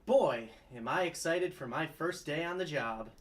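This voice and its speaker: A man's voice: a little high, lively intonation, unhurried. A man reading the part of a cheerful, naive young lawyer in an upbeat, eager way. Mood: chipper.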